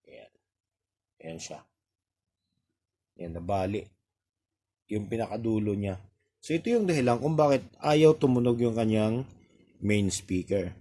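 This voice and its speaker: Male repair tutorial presenter, Filipino accent, mid-pitched mellow voice, casual and halting hands-on explanations. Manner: halting, explains step by step with pointing asides. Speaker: audio repair technician and reviewer.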